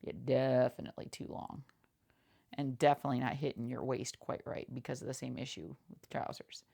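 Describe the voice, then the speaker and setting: A woman speaking softly and casually in an ASMR roleplay as a seamstress, halting and often repeating small reassurances in a murmur. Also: extremely low pitch, level tone, gravelly. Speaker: ASMR creator playing a seamstress. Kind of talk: soft-spoken ASMR roleplay.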